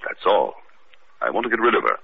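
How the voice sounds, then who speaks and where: A pompous, wealthy older man in a radio mystery. His voice is extremely deep and husky, and he repeats himself fussily. The old recording sounds muffled. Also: big pitch swings, fairly quick, full character voice. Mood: grave, indignant. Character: pompous, rich, big older husband. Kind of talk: old-time radio acting.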